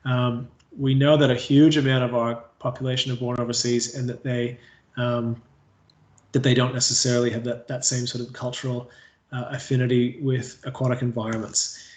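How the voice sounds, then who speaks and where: A Canadian man gives a training talk on pool safety and keeps circling back with fillers and restarts. His pitch barely moves, and the room gives his voice a noticeable echo. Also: little pitch movement, moderate pace, a little mellow. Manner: hesitant, many fillers and restarts. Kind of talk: staff training webinar presentation.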